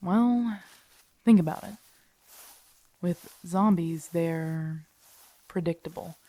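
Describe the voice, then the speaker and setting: Woman acting grumpy survivor woman, mellow low voice. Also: slow pace, no echo. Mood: emphatic, cynical. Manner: sardonic, blunt, conversational. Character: grumpy, protective, tsundere young woman surviving a zombie apocalypse. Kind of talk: intimate listener-directed audio roleplay acting.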